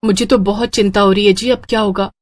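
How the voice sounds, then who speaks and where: An anxious married woman in a storybook tale, played by a woman narrator. She sounds plaintive and pleading, at a medium pitch. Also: fairly even intonation, fast talker, slight background noise, lightly acted. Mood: worried. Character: worried, pleading married sister short of money. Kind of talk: Hindi moral story voice acting.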